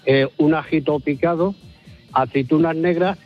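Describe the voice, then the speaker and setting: A man's voice: fairly high pitch, steady tone, moderate pace, muffled recording. A man talks as a radio caller. He is polite and a little hesitant as he explains things casually, with faint music underneath. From La Mancha, Spain.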